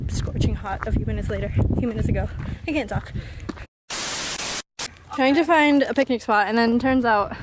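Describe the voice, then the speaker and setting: A young woman with a high, bright voice chatters and giggles through a casual travel vlog. She holds the camera at arm's length on an open mountain trail. Strong wind and outdoor noise run under her voice. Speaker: vlogger. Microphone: handheld camera at arm's length.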